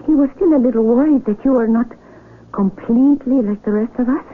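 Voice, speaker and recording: An actress playing a devoted young sweetheart in a radio drama, tender, imploring and melodramatic. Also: female voice, on the high side, brisk, phone-quality sound, natural voice. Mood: hesitant. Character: devoted young sweetheart, pleading gently to be married. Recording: thin, band-limited old radio recording.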